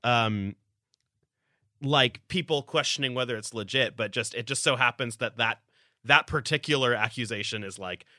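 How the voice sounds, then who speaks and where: A man co-hosting a podcast, chatting casually and explaining things in a halting way as he leads a game. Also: mid-range voice, sharp tone, no room sound, studio quality. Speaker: podcast co-host. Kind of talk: casual chat, leading a game.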